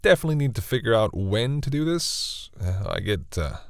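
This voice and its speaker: A man's voice: on the low side, big pitch swings, fairly quick, gravelly, clear, resonant tone, no room sound, clean studio sound. A man playing a shy, protective vampire boyfriend in an intimate audio roleplay, hesitant and stammering, easily embarrassed. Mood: sheepish, enthusiastic. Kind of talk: intimate audio roleplay, acted one-sided dialogue.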